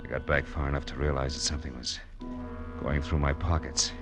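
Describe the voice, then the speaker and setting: An actor playing a tough, wry private detective, clipped and sardonic, in 1940s radio noir style. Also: male voice, very deep voice, flat delivery, fairly quick. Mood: wry, grim. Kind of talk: 1940s radio noir acting with first-person narration. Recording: old radio broadcast with music.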